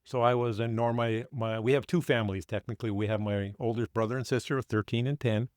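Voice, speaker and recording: An older man whose first language was Finnish recalls his family history in a plain, middle-pitched voice. He talks without hurry, often backing up to correct himself. The sound is close and dry, with no room around it. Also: steady tone, darkish tone, dry recording. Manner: unhurried, with halting restarts and self-corrections. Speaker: guest. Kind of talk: reflective storytelling interview.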